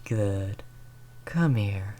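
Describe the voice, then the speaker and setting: Slow, menacing centuries-old vampire, acted out. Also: low-pitched, lively intonation, dark tone, a little hiss or hum, exaggerated voice. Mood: commanding, pleased. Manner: slow, deliberate, often dropping to breathy whispers; condescending, teasing endearments. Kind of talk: roleplay audio drama, intimate monologue.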